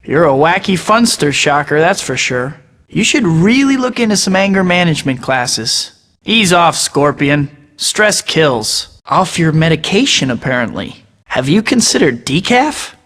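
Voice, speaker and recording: A man's voice: on the high side, very animated, measured pace, a bit bright, big-room sound, full character voice. An actor voicing a young male superhero who tosses out sarcastic taunts in a video game. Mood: mocking. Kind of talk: game voice clips.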